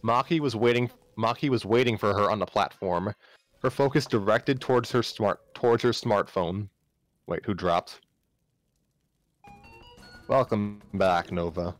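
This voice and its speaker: Low-voiced man reading a story over music. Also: a little slow, long pauses, dry recording. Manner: stumbles and repeats phrases while reading. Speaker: narrator, livestream reader. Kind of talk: live story narration, reading aloud. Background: background music.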